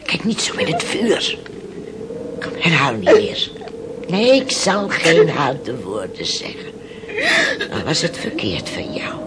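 A middle-aged fisherman's mother in an old radio play, pious and admonishing yet comforting. She speaks slowly with big swings of pitch and halting emotion, over a clearly noisy recording. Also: wildly swooping pitch, full character voice. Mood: distressed, reproachful. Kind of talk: theatrical Dutch radio drama acting.

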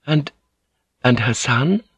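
Man voicing a faltering, threadbare noblewoman, pitched up. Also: expressive, a bit bright, husky, dry recording, lo-fi sound, full character voice. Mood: dazed, faltering. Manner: faltering, halting. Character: shaken, obedient Japanese noblewoman, proud but drained. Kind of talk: dramatic audiobook character voice.

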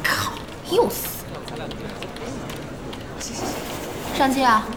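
A woman playing a feisty, excitable young internet cafe gamer in a Mandarin audio drama. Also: sits high, a little slow, reverberant, long reverb tail, heavy background noise. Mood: frustrated. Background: background music.

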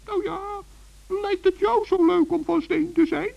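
A tiny, naive forest gnome with an extremely high, squeaky voice full of creak. He sounds innocent, hesitant and childlike, in the manner of an old children's radio play. The vintage recording is dull. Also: steady tone, a little slow, exaggerated voice. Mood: evasive, sheepish. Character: small, kind-hearted, naive little forest gnome, male.

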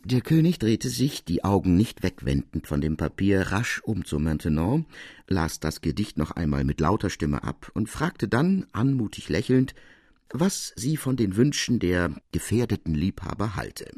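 A male audiobook narrator with a low voice reads classic literature. His phrasing is expressive, dramatic and deliberate. The recording is dry, with hardly any room sound. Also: slightly rough, dry recording.

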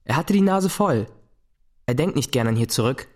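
A man's voice: mid-range voice, lively intonation, moderate pace, darkish tone, natural voice. A young male actor playing a defiant, cheeky teenage boy in a radio play, blunt and sardonic. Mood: cool, evasive.

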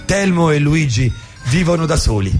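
A man's voice: fairly high pitch, animated, moderate pace, faint music behind, lightly acted. A man voicing a dramatic, portentous announcer in a parody movie trailer. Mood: mock-dramatic. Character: grandiose, mock-epic movie trailer announcer. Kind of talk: parody film trailer narration.

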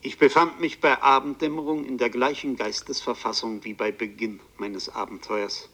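A haunted bookseller from a radio play, telling his strange ordeal as a first-person narrator. His delivery is literary and deliberate, with a dramatic intensity at a middling male pitch. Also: steady tone, sharp tone, poor audio. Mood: fatalistic, dramatic.

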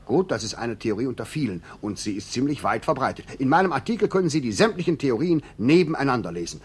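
A man playing a glib, brisk newspaper reporter in a German radio play, polite, persuasive and businesslike. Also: medium pitch, very animated, breathy edge. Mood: inquisitive.

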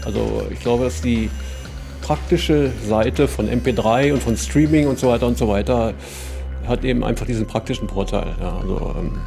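A middle-aged man giving thoughtful interview answers, deliberately searching for his words. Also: mid-range voice, vocal fry, warm tone, faint music behind. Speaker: interviewee, cassette culture radio host and musician.

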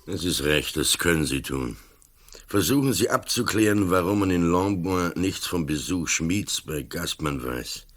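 Very deep-voiced old police commissioner. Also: male voice. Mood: dry, matter-of-fact. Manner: calm, deliberate, dry. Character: old, composed, dry-witted police commissioner. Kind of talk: radio play acting.

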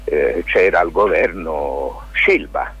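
A man with a fairly deep, rough-edged husky voice answers as a radio interview guest. He talks in a halting, spontaneous way, repeating himself and seeking confirmation as he goes. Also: fairly bright tone, highs cut off. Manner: halting, circling back with check-in tags like "capito" and "no?". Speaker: lawyer and stage author, interview guest.

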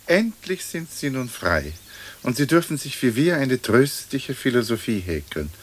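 An actor playing a lofty, philosophising leader of the dead, pompous and florid, in a radio play. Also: male voice, mid-range voice, sing-song, unhurried. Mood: patronising. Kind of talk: German radio play acting.

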